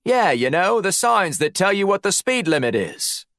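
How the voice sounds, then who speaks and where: Man putting on a high-pitched, breezy Australian bloke. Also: sing-song, normal speed, a bit bright, dry recording, studio quality, exaggerated voice. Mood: breezy, cheeky. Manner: breezy, matey, protesting. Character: brash male spy posing as a breezy Australian. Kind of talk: audiobook character dialogue.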